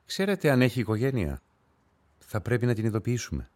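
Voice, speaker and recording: An actor playing a methodical police investigator in a radio drama, asking questions in a formal, probing way. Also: male voice, mid-range voice, sing-song, a little mellow, lightly acted.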